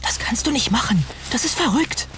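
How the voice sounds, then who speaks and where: Animated, anxious young fantasy hero acted out. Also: mid-range voice, moderate pace, in a whisper, over music, natural voice. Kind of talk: fantasy audio drama acting.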